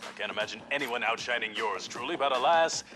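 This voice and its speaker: An actor playing a flamboyant, smug racing show host in a video game, theatrical and sardonic with a sneer. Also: male voice, medium pitch, expressive, fairly quick, close to an everyday voice. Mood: grudging, sardonic. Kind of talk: showy video game cutscene acting. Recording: music behind the voice.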